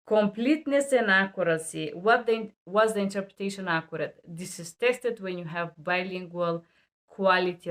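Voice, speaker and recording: Low-voiced woman teaching interpreting rules. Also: fairly bright tone. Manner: emphatic, repeats key rules bluntly; non-native English phrasing. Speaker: medical and legal interpreter. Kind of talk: instructional talk to camera.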